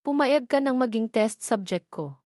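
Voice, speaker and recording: A woman's voice: a little high, lively intonation, moderate pace, a little mellow, dry recording, lightly acted. Filipino lady reading a pushy stubborn student guy in an audio story, dry sound. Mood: insistent. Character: stubborn, demanding young male university student.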